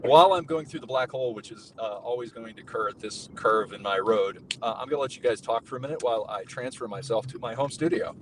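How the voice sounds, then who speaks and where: A man's voice: quick pace, few pauses. A man hosting a talk show, chatting in a rambling, jokey way from the passenger seat of a car. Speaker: host. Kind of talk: casual chat. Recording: distant mic with road noise. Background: car and road rumble.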